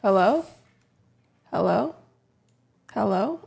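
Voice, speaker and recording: A woman's voice: mid-range voice, lively intonation, extremely slow, vocal fry, darkish tone, husky. Chatty young woman streamer. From lives in Texas. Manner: chatty, self-deprecating, fidgeting with her mic. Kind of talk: casual live-stream chat.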